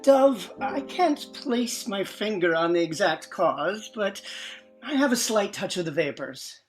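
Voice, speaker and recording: A man's voice: sits high, big pitch swings, a little slow, soft background music. A man playing a fussy, superstitious sidekick in an old-time radio drama, with an affected, florid way of talking. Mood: faint, fussy.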